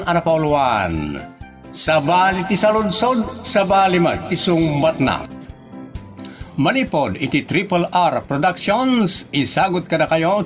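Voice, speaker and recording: A man with an Ilocano accent announcing a scripted radio drama introduction. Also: a little high.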